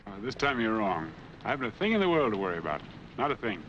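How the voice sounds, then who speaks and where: An actor playing a guarded, edgy drifter in a 1940s crime film, speaking in a clipped, brusque way. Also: male voice, lowish voice, big pitch swings, quick pace. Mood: edgy, brisk. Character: edgy, evasive male drifter, guarded and brisk. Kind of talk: 1940s film noir acting. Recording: muffled old film soundtrack, faint noise.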